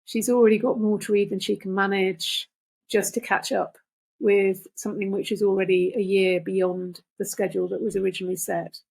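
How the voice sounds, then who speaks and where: An older woman, a podcast guest, talking reflectively and earnestly in deliberate phrases. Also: medium pitch, level tone, gravelly, a little mellow, slightly rough, poor audio. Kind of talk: reflective conversational talk.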